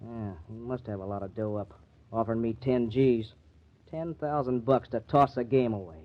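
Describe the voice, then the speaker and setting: A man's voice: medium pitch, moderate pace, natural voice. A man acting a tough, hot-headed star athlete with a mid-range voice. He speaks in blunt slang, in an old radio crime drama on a dull recording. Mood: bitter. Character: hot-headed, honest male star baseball pitcher.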